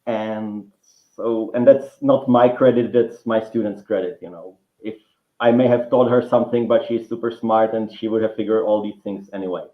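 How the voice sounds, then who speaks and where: A man's voice: on the low side, steady tone, moderate pace. Czech-accented man, guest author, roomy echo, dull lo-fi sound, rambling speech. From Czech Republic. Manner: rambling, many restarts and fillers. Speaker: guest author and writing teacher. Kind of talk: relaxed interview conversation.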